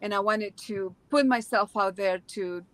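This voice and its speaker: A woman from Chile with a Chilean Spanish accent, chatting casually as a live interview guest in an emphatic, expressive way. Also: medium pitch, unhurried. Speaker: interview guest, video channel creator.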